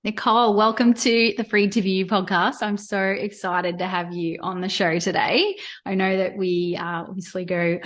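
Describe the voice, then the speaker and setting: An Australian woman hosting a podcast, reading an upbeat scripted intro and then chatting warmly with a guest. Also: mid-range voice, steady tone, normal speed, hardly any pauses. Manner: upbeat and enthusiastic, with some filler words in conversation. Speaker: podcast host and life coach.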